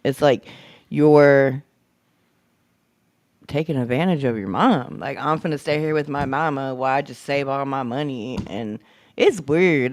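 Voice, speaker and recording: A woman's voice: very deep voice, no echo. An American woman in her early forties chatting casually on a podcast in a candid, slangy way. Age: early 40s. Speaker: podcast panelist. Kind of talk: casual chat.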